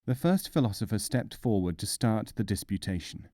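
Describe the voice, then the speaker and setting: Low-voiced male storyteller, animated and mellow. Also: no echo, studio quality. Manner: measured, expressive storytelling. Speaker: audiobook narrator. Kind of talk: scripted storybook narration of folk tales.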